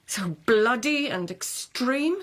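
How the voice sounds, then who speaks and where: A woman's voice: on the high side, lively intonation, fairly bright tone. Eloquent, defiant court lady. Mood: bitterly ironic. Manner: dramatic, rhetorical, deliberate phrasing with pointed irony. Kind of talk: radio drama acting.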